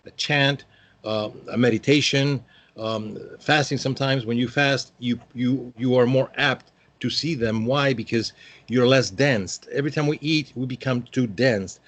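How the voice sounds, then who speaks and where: A man in his fifties from Peru. He sits as a guest in a relaxed interview, and his voice sits at an ordinary middle pitch. He rambles along with plenty of "um"s and restarts. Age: 50s. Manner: rambling, hesitant, many fillers. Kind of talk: casual interview talk.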